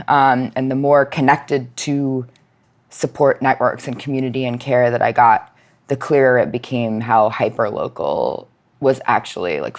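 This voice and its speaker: A woman talking reflectively in an interview, halting now and then as she searches for words. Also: extremely low pitch, a little mellow. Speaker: nonprofit outreach manager, podcast guest. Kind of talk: reflective personal interview talk.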